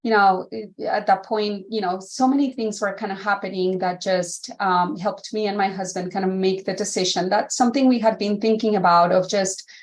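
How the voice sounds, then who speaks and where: A woman's voice: mid-range voice, steady tone, moderate pace, hardly any pauses. Chatty woman guest from Colombia. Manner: warm, chatty, frequent fillers. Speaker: guest, vascular surgeon. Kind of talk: casual interview.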